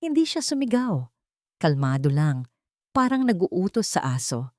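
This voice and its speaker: A woman's voice: fairly low pitch, very animated, a little slow, a little mellow, no room sound, clean studio sound. A woman reading scripted dramatic fiction as an audiobook narrator.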